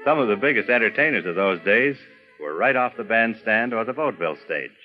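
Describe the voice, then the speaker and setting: A man's voice: on the low side, lively intonation, brisk. An older American man, a veteran announcer, reading a scripted documentary narration about his profession. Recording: dull vintage recording, music behind. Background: music.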